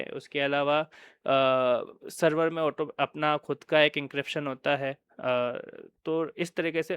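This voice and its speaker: Clear, higher-voiced Indian man presenting. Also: fairly bright tone, dry recording. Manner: explanatory, often checking in with listeners. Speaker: product presenter. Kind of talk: informal product walkthrough presentation.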